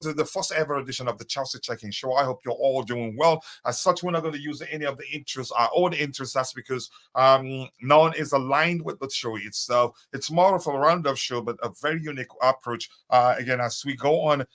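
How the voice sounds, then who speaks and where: A man's voice: mid-range voice, a little fast, hardly any pauses, fairly bright tone. A man hosting a live fan show, chatting casually with many fillers and greeting viewers by name. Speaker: host of a live fan stream. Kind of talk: casual live-stream chat.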